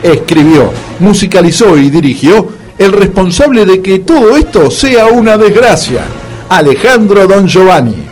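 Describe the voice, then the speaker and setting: Argentine man, comic radio narrator, theatrical mock-serious reads with lively intonation, faint music underneath. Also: high voice, a little slow. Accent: Argentine Rioplatense. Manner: mock-dramatic. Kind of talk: comic scripted radio narration with closing credits.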